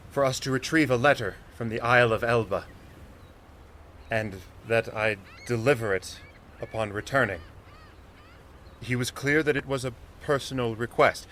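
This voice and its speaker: An eager, dutiful young sailor , acted by a man in a period radio play. His voice is bright and forward, with sincere, respectful and formal delivery. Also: mid-range voice, slight background noise, natural voice. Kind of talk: audio drama acting.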